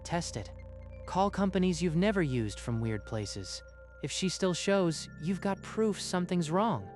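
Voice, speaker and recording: A man's voice: sits high, very animated, unhurried, darkish tone. A skeptical, practical friend acted by a man in a manga dub, with a fairly light male voice. He talks in a conversational, questioning way, with music underneath. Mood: alert, advising. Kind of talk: scripted manga dub acting.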